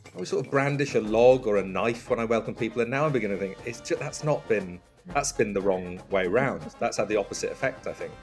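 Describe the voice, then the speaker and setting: A man chatting as a comedy podcast guest, playful and mock-earnest with deadpan lines. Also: lowish voice, fast, soft background music. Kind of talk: playful conversational banter.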